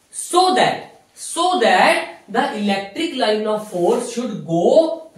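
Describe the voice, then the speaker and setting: A young man with an Indian accent teaching at a whiteboard as a lecturer, repeating himself and answering his own questions, recorded from a distance in a small room. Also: very high voice. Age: young adult. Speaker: physiotherapy lecturer. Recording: echoey room, distant mic.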